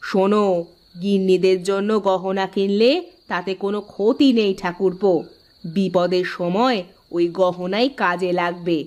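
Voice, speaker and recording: A woman's voice: mid-range voice, flat delivery, a little slow, darkish tone, natural voice. A woman voicing a practical, caring village housewife and elder sister-in-law in an earnest cartoon drama style. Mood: firm. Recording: music behind the voice.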